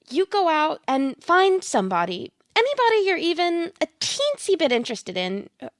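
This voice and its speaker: A woman's voice: sits high, no room sound, studio quality. A woman playing a blunt, slyly coaxing tarot reader in an audio play, with a theatrical manner and pet names for her client. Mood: persuasive. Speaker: actor.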